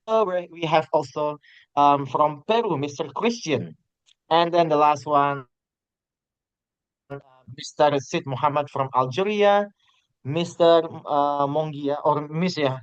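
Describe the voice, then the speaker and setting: A man's voice: on the high side, clean tone, no echo. A man moderating an online teaching webinar, introducing the guest with many fillers and restarts. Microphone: video call. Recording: dull, dry online call audio.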